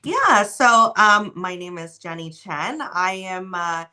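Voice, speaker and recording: A woman's voice: fairly low pitch, slow, clear, resonant tone. A woman in her forties from Canada with a slight Texan accent, talking casually and animatedly as a podcast guest over a video call on a tablet. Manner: bubbly, animated storytelling. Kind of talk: casual interview chat. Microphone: tablet mic on a video call.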